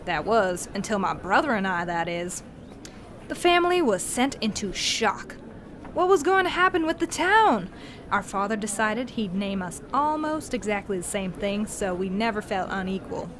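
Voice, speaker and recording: A woman's voice: medium pitch, big pitch swings, normal speed, a bit bright, a little hiss or hum. A woman playing a genial, long-winded, folksy male cowboy posse leader in a western audio drama. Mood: chatty. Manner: rambling, folksy, theatrical storytelling.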